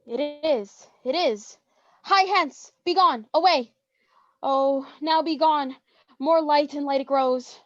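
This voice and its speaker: A woman's voice: high-pitched, takes it slowly, no echo. A woman playing a lovestruck teenage girl in theatrical Shakespearean verse, on a lo-fi online microphone. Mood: urgent. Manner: dramatic, theatrical delivery. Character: lovestruck teenage girl pleading with her boyfriend. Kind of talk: Shakespearean verse acting in an online staged reading.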